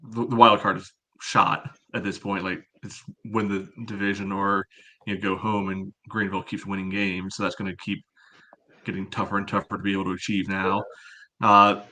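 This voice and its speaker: Bright-voiced, lower-pitched man analyzing soccer. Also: flat delivery, moderate pace. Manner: opinionated, analytical. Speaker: podcast co-host.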